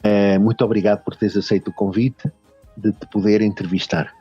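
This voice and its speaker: A man's voice: on the low side, flat delivery, a little fast, slightly rough, dry recording, lo-fi sound, soft background music. A man with a European Portuguese accent hosting a radio interview, hesitant and full of fillers. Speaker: radio host.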